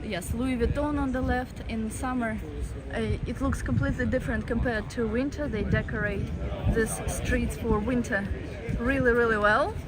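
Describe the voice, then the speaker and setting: A woman's voice: fairly high pitch, steady tone, moderate pace, a loud crowd, poor audio. A woman hosting a live-stream walking tour, chatting casually to her viewers while walking outdoors in a city. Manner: chatty, reads out chat comments. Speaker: travel vlogger. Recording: street noise and traffic behind.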